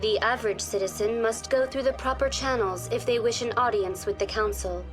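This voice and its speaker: Woman acting a formal, high-voiced virtual tour guide. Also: music in the background, close to an everyday voice. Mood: polite, neutral. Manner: formal, measured, informative. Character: polite female virtual tour guide program on space station. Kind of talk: scripted informational narration.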